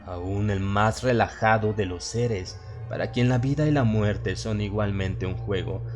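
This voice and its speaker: A man with a Latin American Spanish accent narrating a horror story in a solemn, theatrical manner with dramatic pauses. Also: fairly low pitch, a little mellow. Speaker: audiobook narrator. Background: music and sound effects.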